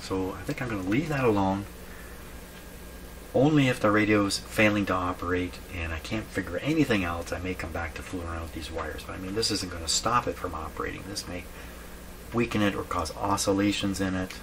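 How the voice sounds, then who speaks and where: A man's voice: low-pitched, brisk, a bit bright. A man giving casual hands-on repair commentary, thinking aloud and asking himself questions as he works. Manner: musing, asks himself questions. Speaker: radio repair hobbyist and video host. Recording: clearly noisy workshop background.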